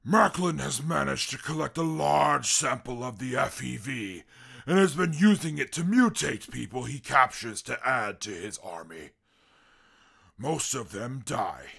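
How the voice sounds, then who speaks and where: Pompous brainy mutant brute, super gravelly, slow and wordy, swings up and down, radio play. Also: male voice, medium pitch, a bit bright, heavily acted. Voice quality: gravelly, husky. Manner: pompous, formal, wordy, prone to violent outbursts. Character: eloquent, pompous, brutal super mutant with inflated intellect. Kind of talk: post-apocalyptic radio drama acting.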